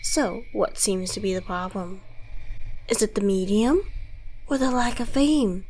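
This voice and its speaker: A woman's voice: medium pitch, measured pace, a little mellow, lightly acted, rough recording. A woman playing an ancient devil in a scripted ASMR roleplay, theatrical, articulate and condescending, mockingly friendly with menace underneath. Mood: smug, mocking. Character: suave, gloating devil, centuries old, mockingly friendly, menacing.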